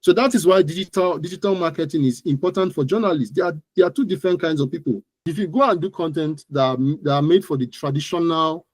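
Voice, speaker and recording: A man's voice: a little high, fast talker, few pauses, dry recording. A man with a Nigerian accent, an expert guest explaining informally and repeating phrases for emphasis. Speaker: guest expert, digital marketer. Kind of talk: informal explanatory interview talk.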